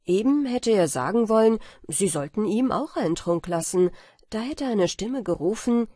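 A storyteller narrates a fairy tale meant for falling asleep. The voice is husky and sits at a middle pitch. The reading is scripted audiobook narration, recorded very dry. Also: animated, moderate pace, dark tone, no room sound.

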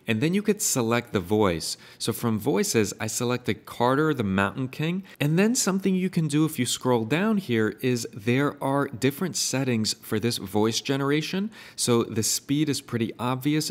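A man in his thirties hosting a software tutorial, upbeat and eager as he explains each step. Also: medium pitch. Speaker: tutorial host and AI consultant. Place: indoor room in front of a painted brick wall.

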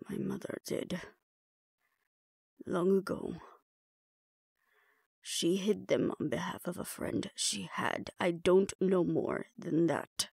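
A woman voicing a guarded, grudging, defiant old female vampire elder in an audio roleplay, speaking in a halting, solemn way. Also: fairly low pitch, creaky, a little mellow, slightly rough, no room sound, clean studio sound, exaggerated voice. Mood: reluctant.

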